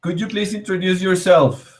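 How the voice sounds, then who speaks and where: A man's voice: high-pitched, steady tone, normal speed. A man moderating a video meeting, briefly and politely calling on each participant. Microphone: video call.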